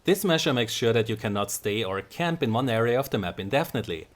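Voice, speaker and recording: A man hosts his own gaming channel and reads a prepared script on game design. His voice sits in a middle range. He explains each point in turn, steady and methodical. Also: medium pitch, quick pace. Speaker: gaming video host.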